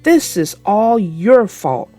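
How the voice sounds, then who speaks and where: A woman's voice: medium pitch, very animated, slow pace, dark tone, dry recording, faint music behind. A woman voicing a good-natured young schoolboy who is reluctant about chores, in a children's storybook. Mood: grumbling, tired.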